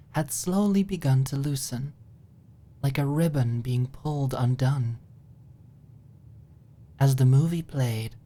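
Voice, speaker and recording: A woman's voice: very deep voice, mellow. A woman narrating a scripted audiobook in an ASMR style.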